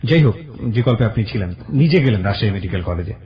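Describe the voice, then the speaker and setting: Male radio host, brisk delivery, muffled lo-fi sound. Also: fairly low pitch, expressive. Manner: quick, reflective commentator. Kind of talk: radio interview.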